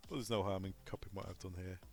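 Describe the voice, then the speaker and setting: Low-voiced man giving a software tutorial. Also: steady tone, quick pace, vocal fry, soft background music. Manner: informal, instructional, talking through each step aloud. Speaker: technical trainer presenting a tutorial. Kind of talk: unscripted step-by-step software walkthrough.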